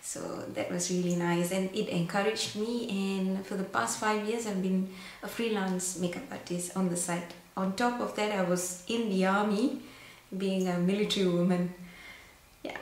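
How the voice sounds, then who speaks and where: A woman's voice: mid-range voice, fairly even intonation, normal speed. A young woman from Singapore chatting to camera in a casual vlog, full of fillers and restarts. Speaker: makeup vlogger. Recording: very echoey room, faint background hiss.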